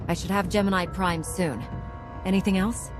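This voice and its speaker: A woman playing a resolute, no-nonsense Jedi commander in a video game, speaking firmly and with measured authority. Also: on the low side, steady tone, close to an everyday voice. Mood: firm, composed. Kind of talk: video game cutscene acting. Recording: music behind.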